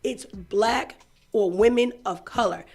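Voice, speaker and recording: A woman's voice: mid-range voice, slow pace, darkish tone, husky. A woman from Boston with a Boston accent, co-hosting morning radio, blunt, passionate and colloquial. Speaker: radio co-host. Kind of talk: morning radio talk show chat.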